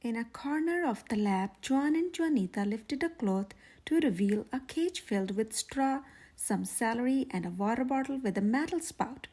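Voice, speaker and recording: A woman reading a children's story aloud in an expressive, breathy voice. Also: a little high, normal speed, few pauses. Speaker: children's story reader, channel host.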